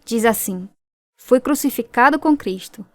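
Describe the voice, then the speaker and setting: Devout, earnest young Brazilian woman, higher voice. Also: lively intonation, unhurried. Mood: earnest, firm. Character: devout young woman, earnest new convert, telling her story. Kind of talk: radio drama narration and dialogue.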